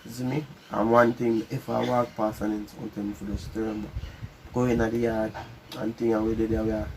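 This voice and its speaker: Casting: Jamaican man, creaky gravelly voice, informal repetitive storyteller, faint noise on the recording. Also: lowish voice, level tone, a little fast, a little mellow, poor audio. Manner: repeats phrases, checks in often. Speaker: couple vlog host. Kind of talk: casual storytelling chat.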